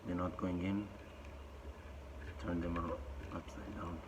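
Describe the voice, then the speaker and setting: A man with a croaky, low voice talks slowly as he walks through a repair by hand. His halting, plain-spoken explanation comes over loud outdoor noise. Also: flat delivery. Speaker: boat owner showing a repair. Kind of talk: casual how-to demonstration.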